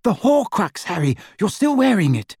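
A man voicing a clever, anxious teenage girl witch who talks briskly and impatiently. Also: high voice, big pitch swings, darkish tone, husky, dry recording, studio quality, pitched above the performer's own voice, heavily acted.

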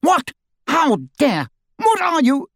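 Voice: high-pitched, very animated, takes it slowly, no echo, clean studio sound, voice pushed up, heavily acted. A performer voicing a cold, haughty old wizard in a portrait, with pompous, sneering, old-fashioned speech, in an audiobook. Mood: outraged.

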